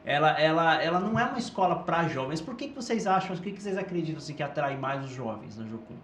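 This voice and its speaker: A man's voice: a little high, sing-song, fast talker, a bit bright. A man casually interviewing guests as a podcast host, asking conversational questions. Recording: echoey room, faint background noise.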